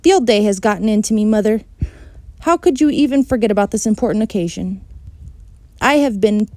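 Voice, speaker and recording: A woman voicing an excitable, bossy little kindergarten girl in a storybook, talking in blunt, emphatic childish phrases. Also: mid-range voice, brisk, no room sound, voice pushed up, lightly acted. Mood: eager insistent.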